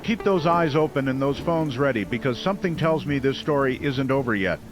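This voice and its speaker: An actor playing an affable small-town late-night radio host, polite, reassuring and folksy with callers and listeners. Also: male voice, medium pitch, expressive, a little fast, noisy background, lightly acted. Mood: folksy sign-off. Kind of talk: radio drama, late-night talk show hosting.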